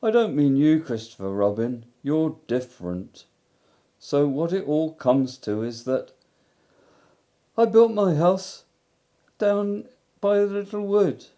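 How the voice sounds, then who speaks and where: A man voicing a gloomy, melancholy old male donkey who grumbles slowly and mournfully. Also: fairly high pitch, very animated, warm tone, pitched above the performer's own voice. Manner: slow, mournful, self-pitying.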